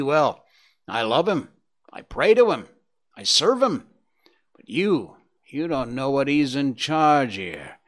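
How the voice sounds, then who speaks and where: A man voicing a fierce male dog in a storybook, excited and insistent in his fanatical devotion. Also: medium pitch. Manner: excited, howling, outraged, insistent.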